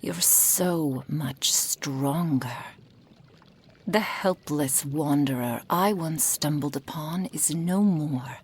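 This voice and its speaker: A woman playing a possessive, doting kitsune witch in an intimate ASMR roleplay, breathy and often whispering, with a silky, formal manner. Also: low voice, slow, darkish tone, exaggerated voice. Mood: adoring, wistful.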